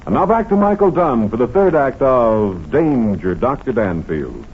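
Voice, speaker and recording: A man's voice: medium pitch, sing-song, unhurried, faint music behind. A man making scripted announcements in the style of a 1940s radio announcer. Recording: tinny, muffled vintage radio recording.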